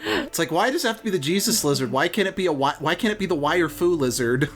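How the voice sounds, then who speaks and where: A woman's voice: low voice, expressive, fast. A woman talking casually as a co-host in a video game let's play. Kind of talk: casual gaming commentary. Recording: game music behind.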